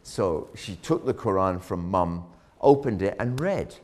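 A man preaching a sermon, telling a story dramatically, rising loud on key words and dropping low again. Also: animated, measured pace. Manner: dramatic, swells loud on key phrases then drops low.

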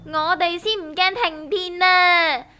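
A woman's voice: very high-pitched, steady tone, slow. A woman playing a theatrical, easily spooked and sarcastic character in a comedic Cantonese radio drama, with a Hong Kong accent. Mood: mock-earnest. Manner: theatrical, mock-earnest. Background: music.

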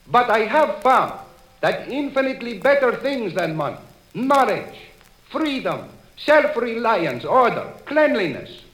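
A man's voice: very high voice, sing-song, unhurried, fairly bright tone, slightly rough, heavily acted, rough recording. An actor playing an earnest Syrian immigrant man in a 1930s radio drama, speaking with an Arabic accent in a solemn, declamatory way. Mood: proud. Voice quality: heavy put-on accent, solemn declamatory delivery.

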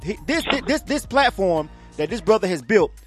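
A man's voice: high voice, sing-song, normal speed, music in the background. A man co-hosting a radio call show, talking in a casual, emphatic, slangy way with repeated words and free swearing. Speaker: radio program director, co-host.

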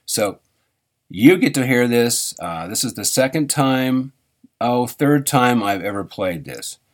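A man hosting a live radio show, talking in a loose, halting way, correcting himself and chuckling between thoughts. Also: mid-range voice, animated. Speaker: radio host. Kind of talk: rambling live radio chat.

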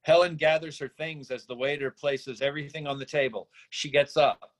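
A man's voice: on the high side, steady tone, moderate pace, poor audio. A man narrating, reading scripted stage directions from a screenplay.

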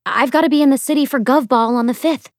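A woman's voice: sits high, fast talker, no echo, voice pushed up. A woman voicing a reluctant, defensive young woman musician in an audiobook, with a dry, exasperated manner.

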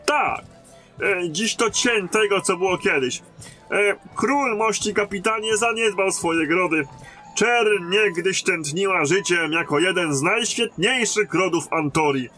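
An actor playing a weary lieutenant in command of a besieged garrison in a fantasy audio drama. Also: male voice, high-pitched, unhurried, pushed and bright, soft background music. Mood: courteous, grave.

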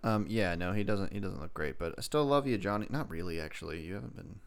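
A man's voice: low-pitched, expressive, fast, smooth. A man co-hosting a casual podcast, joking and teasing as he rambles into asides about pop culture. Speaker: podcast co-host.

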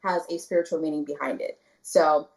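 A woman from the Rio Grande Valley in Texas, a guest talking casually in an interview, soft-spoken with many fillers and restarts. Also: low-pitched, steady tone, fairly quick, low-quality recording. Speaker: guest, spiritual candle maker.

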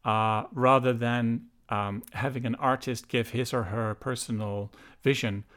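A man's voice: mid-range voice, fairly even intonation, measured pace, fairly bright tone. A middle-aged Dutch man speaking English as a guest in a casual chat, hesitant and full of ums and uhs. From Netherlands.

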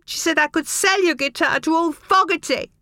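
A woman's voice: moderate pace, bright, forceful tone, dry recording, studio quality, exaggerated voice. A woman voicing a young boy who pleads tearfully with his parents. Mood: pleading. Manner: pleading, high childish voice.

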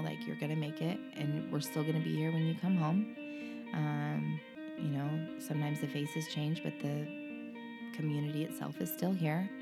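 A woman guest in an interview, speaking earnestly and emotionally about her own experience, with frequent pauses and restarts. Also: sits low. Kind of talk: candid personal interview.

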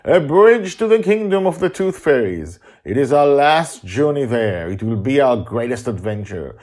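A man voicing a father who leads children through a solemn magical ritual in a storybook, speaking in a grand, drawn-out, ceremonial way. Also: medium pitch, sing-song, a little mellow, pitched above the performer's own voice.

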